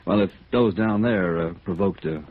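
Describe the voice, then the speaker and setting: Deep, husky, cautious inspector. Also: male voice, normal speed. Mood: wary, quiet. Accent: American. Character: steady, cautious male chief inspector. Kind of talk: 1950s radio drama acting. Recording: muffled narrow-band old radio recording.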